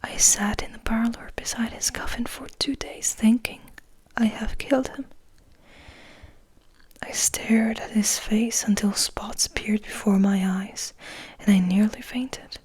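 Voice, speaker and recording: A brooding, guilt-ridden male vampire, as a woman reads him in a whispered storybook confession. The delivery is slow and measured, very breathy, with a dry, intimate sound. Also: fairly high pitch, fairly even intonation, a little mellow, dry recording, rough recording. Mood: mournful, guilty. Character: melancholy guilt-ridden male vampire recounting his brother's death. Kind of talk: whispered ASMR audiobook reading.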